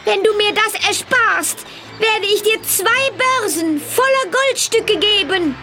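Storybook witch: very high, shrill, pleading woman over clear background music. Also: lively intonation, slow pace, heavily acted. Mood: terrified, begging. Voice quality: shrill, squeaky. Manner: wailing, pleading.

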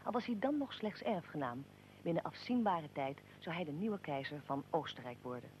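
A performer as a probing interviewer and narrator in a historical radio drama. The delivery is formal, articulate and measured, like scripted documentary narration. A faint rumble runs under the recording. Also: lively intonation, darkish tone, lightly acted, low-quality recording. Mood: formal, narrating. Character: probing, well-prepared interviewer addressing historical figures.

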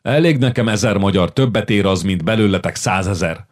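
A man voicing a stern king who shouts scornful insults in a rage. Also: lowish voice, close to an everyday voice. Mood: raging.

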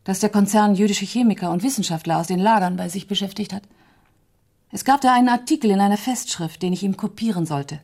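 Female radio-drama role for an actress: quietly grieving, reserved, hesitant, mid-range voice. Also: medium pitch, fast talker, natural voice. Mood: matter-of-fact. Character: reserved woman, quietly grieving, answering an investigator.

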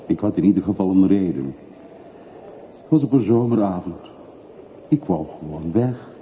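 Muffled, low-voiced reflective man. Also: animated. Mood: wistful. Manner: measured, reticent, reflective. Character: wealthy-born middle-aged emigrant returning home, reserved, reflective. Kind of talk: radio drama acting. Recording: muffled, noisy old radio play recording.

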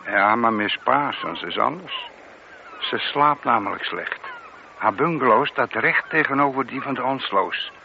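An actor in a radio play voicing a mild, matter-of-fact police sergeant who is deferential but politely doubting. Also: male voice, mid-range voice, lively intonation, a little slow, gravelly. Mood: matter-of-fact, reporting. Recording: muffled, noisy lo-fi radio drama recording.

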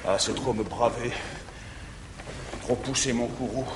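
A man playing a jealous middle-aged guardian in declaimed stage verse, swinging from tender pleading to shouting, in a reverberant 1959 theatre recording. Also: medium pitch, steady tone, a little slow, noisy background, natural voice. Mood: angry. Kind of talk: 1950s French stage verse acting.

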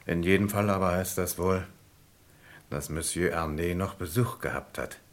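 Deep-voiced, methodical police inspector. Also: male voice. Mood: probing, calm. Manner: deliberate questioning with thoughtful hums. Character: methodical male police inspector, courteous and probing. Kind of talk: 1950s-80s German radio crime drama acting.

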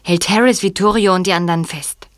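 A practical, quick-witted boy detective acted by a woman in an audio drama. The voice sits a little low for a female speaker. It is recorded very dry. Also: dry recording, natural voice. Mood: probing. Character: alert, practical young boy detective, held captive.

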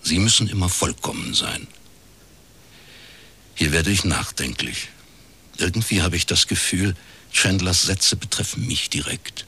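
An actor playing an educated, wry private detective in a German radio crime drama, with a laconic, deadpan delivery. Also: male voice, deep voice, measured pace, long pauses, noisy background. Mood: reflective. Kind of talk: German radio crime drama, first-person narration and dialogue.

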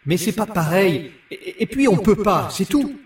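A man's voice: high-pitched, big pitch swings, measured pace. A man playing a protective father who speaks firmly and admonishingly in an audio story. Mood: flustered, firm. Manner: firm, admonishing. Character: protective, firm father of a young girl. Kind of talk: audio fiction dialogue. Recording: echoey, distant microphone.

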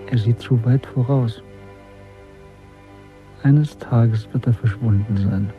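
An actor playing a bitter, brooding dissenter in a radio drama, speaking with introspective intensity. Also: male voice, mid-range voice, lightly acted. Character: disillusioned male dissenter, brooding, bitter, restless. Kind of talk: German radio drama acting. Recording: dull radio recording, faint music.

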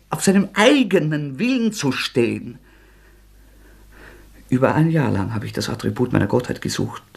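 An actor playing a tormented, defiant young man confessing to murder in an agitated, anguished German radio drama performance, with a husky voice that often breaks. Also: on the high side, a little mellow, full character voice. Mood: fervent, proud. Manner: agitated, anguished, halting outbursts.

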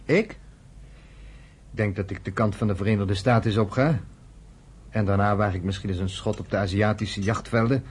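Husky, sardonic man. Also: fairly low pitch, normal speed, long pauses. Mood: wry. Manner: sardonic, fatherly. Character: wry, teasing American man, friend of a nurse. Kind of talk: Dutch radio play acting.

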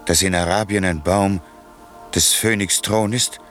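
A man's voice: low-pitched, fairly even intonation, measured pace, fairly bright tone, faint music behind. An actor playing a cynical, ambitious nobleman in a 1960s radio play. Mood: astonished.

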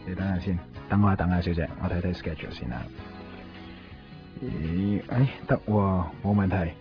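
A man's voice: deep voice, normal speed. An actor playing a cocky, breezy, mysterious man with a Hong Kong Cantonese accent in a radio drama. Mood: cocky, teasing. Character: cocky, easygoing, mysterious man who finds lost things. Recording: dull 1990s radio with music. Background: music.

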